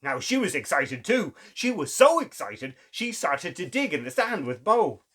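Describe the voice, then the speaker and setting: Male kids' story host, lively swooping delivery, slightly raspy tone, theatrical pauses. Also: fairly high pitch. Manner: exclamatory, theatrical, with dramatic pauses and gasps. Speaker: children's story reader, show host. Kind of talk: animated children's storytelling.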